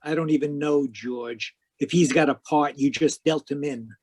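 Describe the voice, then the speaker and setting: Man playing a higher-voiced, wisecracking hard-boiled private eye. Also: moderate pace, lightly acted. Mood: tough, taunting. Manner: wisecracking, deadpan. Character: cynical hard-boiled male private detective. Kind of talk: 1940s radio noir acting and narration.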